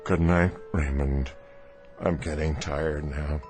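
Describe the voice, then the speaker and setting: Very deep, slow older American man. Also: steady tone, darkish tone, soft background music. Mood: weary resolve. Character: weary aging brother, desperate to become young again. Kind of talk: radio drama acting.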